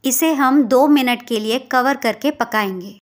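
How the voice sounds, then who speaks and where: A woman's voice: a little high, measured pace. A woman from India hosting a cooking channel, narrating a recipe step by step in an instructional way.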